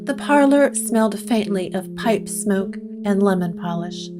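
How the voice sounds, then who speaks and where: A woman narrating a scripted gothic story with deliberate, dramatic pauses. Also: mid-range voice, animated. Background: plucked guitar music.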